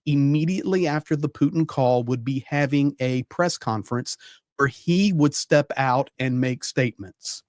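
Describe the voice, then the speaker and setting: Male panel commentator, mid-pitched, conversational and emphatic, recorded dry. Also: normal speed, a little mellow, dry recording. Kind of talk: live political commentary.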